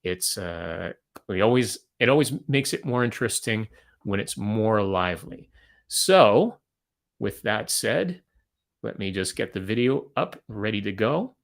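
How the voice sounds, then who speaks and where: Gravelly low-voiced man hosting. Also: expressive. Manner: measured, inviting. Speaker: host. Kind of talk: interview hosting.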